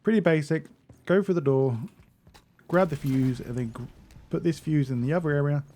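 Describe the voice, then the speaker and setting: A man's voice: on the high side, normal speed, mellow. A man giving a casual video game walkthrough as a guide narrator.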